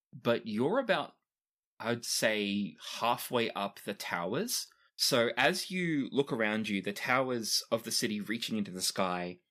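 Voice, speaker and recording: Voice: mid-range voice, a bit bright. A game master improvising narration for a tabletop game in a conversational, descriptive way.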